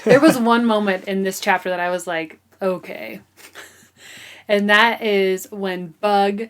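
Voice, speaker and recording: A woman's voice: mid-range voice, fairly even intonation, slow, a little hiss or hum. A woman co-hosting a book podcast, chatting casually with wry, emphatic reactions.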